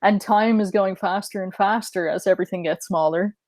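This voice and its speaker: Female podcast co-host, mid pitch, thoughtful rambling, dry close-mic sound. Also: fairly quick, a little mellow, no echo. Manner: musing, speculative, with fillers. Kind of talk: casual conspiracy podcast chat.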